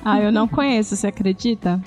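A woman from Salvador, Brazil, hosting a casual podcast with a lively, joking manner. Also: mid-range voice, fast talker, faint music behind. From Salvador, Bahia, Brazil. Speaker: podcast host.

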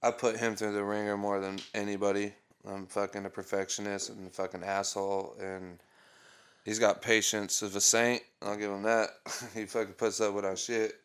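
Thirties man, low-pitched, casual swearing hype talk from a home studio. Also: flat delivery, moderate pace, fairly bright tone, smooth. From Oregon. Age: 30s. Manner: loose, profane, hype talk. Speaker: rapper promoting his album. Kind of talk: casual podcast chat.

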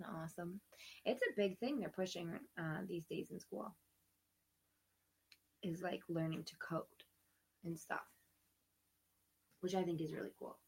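Husky, sick woman chatting slowly. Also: lowish voice, rough recording. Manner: laughing, rambling, drifts into whispers. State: sick, losing her voice. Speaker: livecast host. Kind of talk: casual chat.

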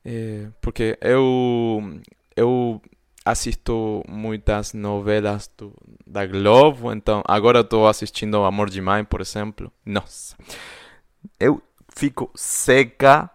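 A man from Argentina with an Argentine accent, speaking Portuguese as a second language, co-hosting a podcast in casual chat and often pausing to search for words. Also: on the low side, steady tone, smooth, no room sound, clean studio sound. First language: Spanish. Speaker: podcast co-host.

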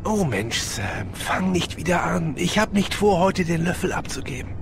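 An actor playing an easygoing, devoted police sergeant in an audio drama. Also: male voice, a little high, big pitch swings, normal speed, creaky, noisy background. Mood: earnest, soothing.

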